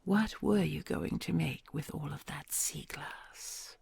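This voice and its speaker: A woman voicing a kind, doting queen dragon, huge and ancient, who tells old legends in a soft hush and gently teases a human she adores. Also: sits low, takes it slowly, gravelly, whispered, exaggerated voice. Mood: tender, teasing. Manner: soft hushed storytelling, affectionate and gently teasing. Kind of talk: ASMR audio roleplay.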